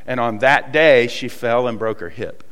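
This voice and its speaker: A man with a Southern US accent preaching a sermon, telling folksy stories with many pauses and fillers. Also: medium pitch, a bit bright, clear, resonant tone, long reverb tail. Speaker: pastor. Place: church hall.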